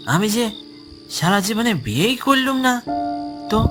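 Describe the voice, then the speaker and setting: A man acts out a cranky old grandfather in a spooky cartoon tale. He is suspicious and scolding, with halting speech and a lively, swooping pitch over clear background music. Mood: bewildered. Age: older.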